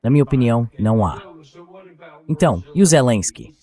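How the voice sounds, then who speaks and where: Mid-pitched male interviewer. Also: expressive, fairly quick, dark tone. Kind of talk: translated voice-over of interview talk.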